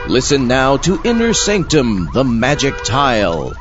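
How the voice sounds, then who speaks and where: An actor playing an irritable, wisecracking zombie who hosts a comic horror show with sardonic asides. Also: male voice, medium pitch, huge pitch swings, unhurried. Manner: sardonic, exasperated. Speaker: host. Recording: dull sound with music behind.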